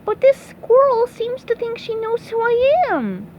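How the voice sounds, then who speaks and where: A woman's voice: very high-pitched, big pitch swings, unhurried, darkish tone, pitched above the performer's own voice, full character voice. A woman voicing a childlike, polite, bewildered male cartoon sea sponge in a children's storybook reading. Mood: polite, puzzled. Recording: dull, noisy recording.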